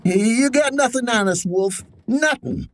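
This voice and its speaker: A man's voice: very high-pitched, animated, a little slow, dry recording, heavily acted. Man playing a swooping, slangy small-time crook. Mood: cocky. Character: slangy, nervous small-time male crook, cocky then cowed. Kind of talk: old-time radio drama acting.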